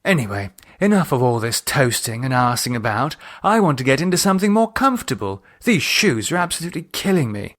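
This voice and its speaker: A man's voice: mid-range voice, big pitch swings, a little fast, hardly any pauses, fairly bright tone. A man voicing a poised, haughty aristocratic woman in an audiobook, a playful cult leader with an arch, refined manner. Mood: playful, impatient. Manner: arch, self-satisfied, refined. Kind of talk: audiobook character acting.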